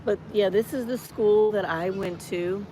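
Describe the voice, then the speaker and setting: Hoarse woman in her 50s, motivational vlogger, preachy and chatty, phone mic outside with traffic noise. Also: mid-range voice, measured pace, warm tone. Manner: encouraging, chatty, preacher-like exhortation. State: hoarse from a cold. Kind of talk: live-streamed walking vlog. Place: outdoors on a college campus. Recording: noisy outdoor street ambience.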